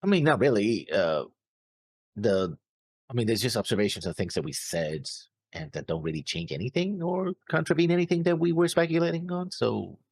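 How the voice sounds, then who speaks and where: A man co-hosting a reaction show, chatting casually in a halting, rambling way. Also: mid-range voice, sing-song, a little mellow, breathy edge, dry recording. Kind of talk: casual chat.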